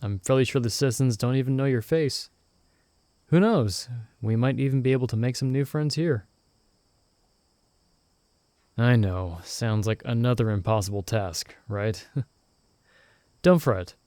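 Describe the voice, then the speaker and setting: A soft-spoken young companion from an audio roleplay, played by a man, steady and quietly teasing as he reassures a stranded friend. His voice sits at an ordinary middle pitch for a man. The sound is close and dry, with no room around it. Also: moderate pace, dry recording, close to an everyday voice. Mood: gentle, reassuring.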